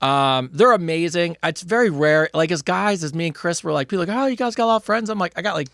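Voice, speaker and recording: A man's voice: fairly high pitch, lively intonation, fast talker, fairly bright tone, dry recording, studio quality. Rambling middle-aged male podcast co-host. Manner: rambling, loose storytelling with lots of restarts and swearing. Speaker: podcast co-host reading listener questions. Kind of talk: casual comedic chat.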